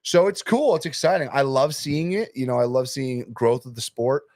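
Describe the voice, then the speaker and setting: A young man hosting a casual podcast, hyping up matches and sponsors with excitable energy. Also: medium pitch, moderate pace, no echo, clean studio sound. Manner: hyped, excitable, promotional. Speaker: podcast host and arm wrestling promoter.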